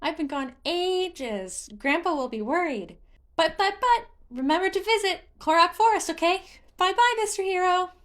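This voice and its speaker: A woman's voice: very high voice, expressive, moderate pace, fairly bright tone, voice pushed up. A woman voicing a male childlike forest spirit, eager, boastful and bubbly, in a fantasy story. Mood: excited. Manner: bubbly, childlike, sing-song exclamations.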